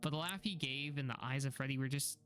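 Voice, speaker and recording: A man's voice: medium pitch, quick pace, a bit bright. Guy doing scripted horror video recap narration, medium voice, bit of music behind.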